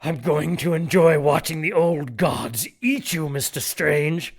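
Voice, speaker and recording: Audio drama villain: cruel gloating monk, highish raspy voice, slow theatrical delivery. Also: male voice, animated. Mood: outraged, vengeful. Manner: drawn-out, theatrical.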